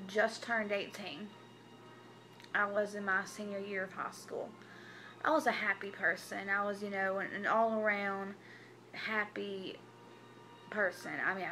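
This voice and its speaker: A woman, telling her personal story to camera in a halting, hesitant way with many restarts. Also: mid-range voice, bright, forceful tone, a little hiss or hum, rough recording. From Tennessee. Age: 20s. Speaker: vlogger. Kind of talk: personal confessional vlog.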